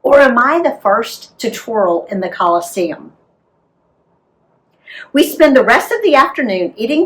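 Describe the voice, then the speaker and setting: An American woman narrating a travel memoir as an author reading aloud, in an expressive, deliberate storytelling manner. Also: medium pitch, poor audio. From United States.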